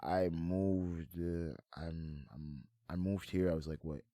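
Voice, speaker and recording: Very deep-voiced man, slangy and casual. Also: flat delivery, takes it slowly, dark tone, no echo, studio quality. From France, moved to Montreal, Canada at eight. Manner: slangy, often checks in with "you feel me". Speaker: guest, hip hop artist. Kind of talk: casual chat, interview answers.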